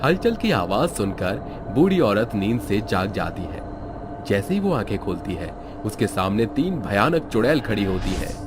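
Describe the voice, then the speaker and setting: Lower-voiced man narrating a tale. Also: big pitch swings, normal speed, background noise. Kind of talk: scripted storytelling narration. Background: music.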